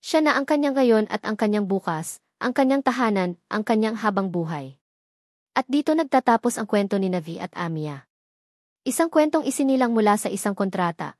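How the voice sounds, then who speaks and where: Woman storyteller, measured and dramatic scripted narration, mid pitch, dry close mic. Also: a little mellow, no echo.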